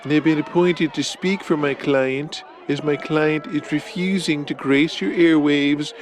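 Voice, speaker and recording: A man's voice: a little high, steady tone, a little slow, lightly acted. A man playing a pompous, sleazy lawyer spouting florid legal jargon in a wrestling audio drama, with noise behind him.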